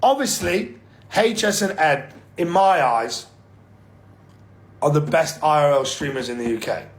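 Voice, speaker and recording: A man's voice: on the high side, a little slow. A male streamer talking in a brash way and swearing freely.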